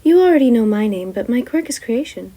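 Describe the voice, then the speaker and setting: A composed teenage girl who asks questions politely and carefully. Her voice sits a little high and neat. A woman reader voices her in a fan story podfic. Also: lively intonation, brisk, warm tone.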